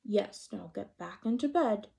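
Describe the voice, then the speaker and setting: Woman as storybook father chicken, parental, patient, gently scolding, mildly exasperated. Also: mid-range voice, animated, unhurried, vocal fry. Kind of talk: picture-book read-aloud character voice.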